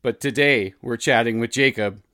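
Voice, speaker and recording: A man's voice: medium pitch, normal speed. A man hosting a podcast, reading his intro and interviewing a guest in an upbeat, appreciative way. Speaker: podcast host.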